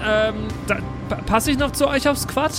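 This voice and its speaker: A woman playing in an improvised pen-and-paper audio play, bantering casually in character in a playful, expressive way. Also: sits high, normal speed. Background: music and outdoor ambience.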